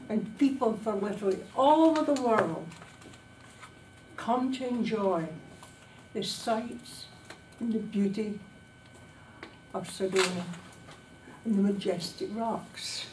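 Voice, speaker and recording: A woman giving a prepared descriptive travel speech to a club, speaking dramatically and emphatically in short phrases with pauses. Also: mid-range voice. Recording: distant, echoey room recording with background noise.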